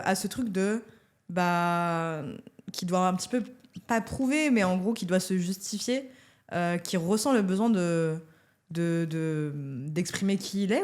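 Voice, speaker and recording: A woman's voice: on the low side, a little mellow. A woman interviewer who asks her questions hesitantly, searching for the right words.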